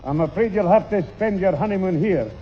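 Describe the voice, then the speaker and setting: A man's voice: high-pitched, a little fast, highs cut off, faint music behind, natural voice. An actor playing a crafty, exasperated old grandfather with a Scottish accent, scheming and insistent in a 1960s radio sitcom. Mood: earnest bluffing. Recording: band-limited old radio recording.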